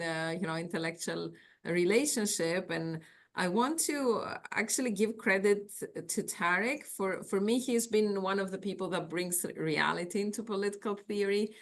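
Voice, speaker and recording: Woman, greek accent, deep voice, academic talk, laptop mic, hesitant fillers. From Greece. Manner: thoughtful, searching for words with fillers. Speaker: academic panelist. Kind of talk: remote conference talk. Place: office. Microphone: laptop on a video call.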